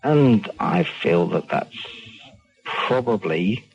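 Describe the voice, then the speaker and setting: A man calling talk radio, giving his opinion in a measured, halting, careful way. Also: sits low, animated, a little mellow, husky. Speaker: phone-in caller. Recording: dull phone call on radio.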